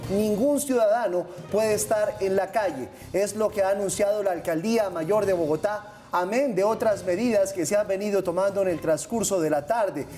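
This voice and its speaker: A man's voice: high voice, moderate pace, few pauses, dark tone. A man with a Colombian accent announcing a breaking news bulletin.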